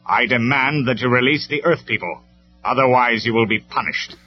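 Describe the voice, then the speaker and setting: A man's voice: mid-range voice, moderate pace, voice pushed up, close to an everyday voice. Man acting out heroic robot commander, stern, declamatory, storybook reading, lo-fi sound, faint music. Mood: menacing. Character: noble, commanding male robot leader. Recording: dull lo-fi recording with music.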